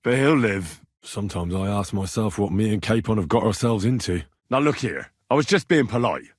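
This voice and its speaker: An actor playing an earnest, cheeky young medieval adventurer in a video game, plain-spoken and conversational. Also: male voice, on the low side, lively intonation, moderate pace, breathy edge, no room sound, clean studio sound. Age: young adult. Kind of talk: video game character dialogue.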